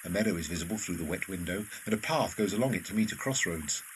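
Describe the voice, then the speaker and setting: Man, narrator, scripted scene descriptions, lowish voice, faint background noise. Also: lively intonation, quick pace, rough recording. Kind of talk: scripted narration of stage directions.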